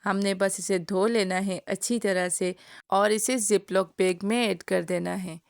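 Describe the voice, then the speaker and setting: A woman's voice: medium pitch, fairly even intonation, measured pace, creaky, dry recording, clean studio sound. A woman with a sore throat giving a home cooking tutorial as a voice-over, explaining each step to her viewers in a friendly way. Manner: friendly instructional, addresses viewers directly. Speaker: cooking channel host. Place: home kitchen, voice-over recorded separately.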